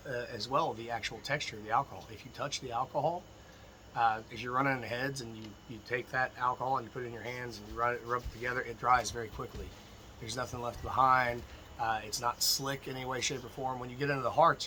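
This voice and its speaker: A man with a slightly froggy, hoarse voice gives informal, emphatic instruction as a distilling teacher talking to viewers. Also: medium pitch, quick pace, pushed and bright, a little hiss or hum, low-quality recording. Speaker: distilling instructor and video host. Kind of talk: informal instructional talk to camera.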